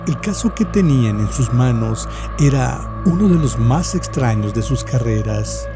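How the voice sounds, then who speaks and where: A man reading a scripted horror story in a measured, solemn way with dramatic pauses. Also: mid-range voice, lively intonation. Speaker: narrator. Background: music.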